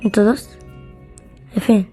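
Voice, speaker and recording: A woman's voice: quick pace, mellow, soft background music. A female gamer with an Egyptian Arabic accent bantering casually as a co-host, playful and teasing. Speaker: gaming video co-host.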